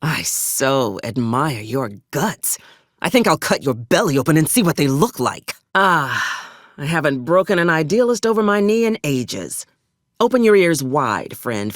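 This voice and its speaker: A woman's voice: low voice, dry recording, clean studio sound, full character voice. A woman playing a ruthless, wry military commander in a video game, speaking in a clipped, folksy and old-fashioned soldierly manner with sardonic menace. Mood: relishing menace. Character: ruthless, wry female military officer, cold pragmatic commander. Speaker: acquisitions officer character in a video game. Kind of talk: scripted video game character dialogue.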